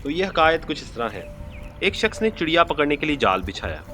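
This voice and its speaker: A man's voice: a little high, big pitch swings, moderate pace. A man narrating a moral story as a channel host, earnest and explanatory in manner.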